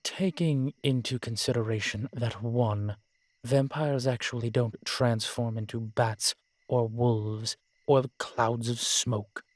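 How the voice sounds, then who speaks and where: A man playing a sardonic, weary vampire in an audio roleplay, speaking in a measured, formal, wry way. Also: mid-range voice, steady tone, no room sound. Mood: exasperated, dry. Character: sardonic, weary male vampire, protective of a little companion. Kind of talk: scripted audio roleplay drama.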